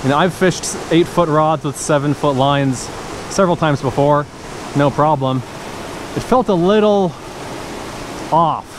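Woman, deep for a woman, casual fishing vlog, excited exclamations, action camera outdoors, loud stream noise. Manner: chatty, frequent excited exclamations. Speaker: fishing vlogger. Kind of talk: casual narration while fishing. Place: outdoors beside a mountain creek. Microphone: point-of-view action camera. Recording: loud rushing creek noise. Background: rushing stream water.

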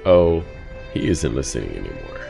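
Deep, gravelly, sinister asylum inmate. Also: male voice, normal speed, over music, full character voice. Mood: gleeful taunting. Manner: wry, deadpan, taunting. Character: calm, sinister male asylum inmate egging on violence. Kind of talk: audio drama acting.

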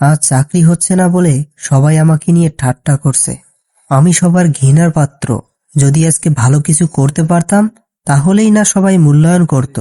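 A man's voice: fairly high pitch, normal speed, mellow, faint music behind, close to an everyday voice. A man voicing a dejected, educated, jobless young village man in a cartoon folk tale, with a plaintive, earnest delivery. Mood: earnest, hurt.

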